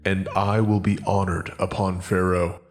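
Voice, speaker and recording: A man voicing God in a dramatized scripture reading, speaking as a slow, solemn and commanding deity who declares each phrase. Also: sits low, little pitch movement, soft background music.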